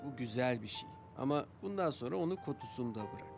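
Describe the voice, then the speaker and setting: A man voicing a patient, gentle young father in a children's cartoon dub, explaining things in a soothing way. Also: medium pitch, expressive, fairly quick, vocal fry. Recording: music behind the voice.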